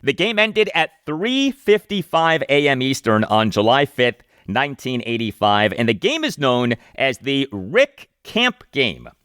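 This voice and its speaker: An American man delivers a solo sports podcast monologue in a mid-pitched voice. He phrases things deliberately and leans on key words like an announcer. The sound is dry and close. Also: sing-song, fairly bright tone, smooth, dry recording. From United States.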